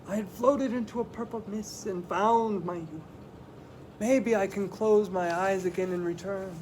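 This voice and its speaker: A man's voice: sits high, a little slow, darkish tone, a little hiss or hum, poor audio. A man voicing a grumpy, dreamy old man in a storybook, musing and grumbling.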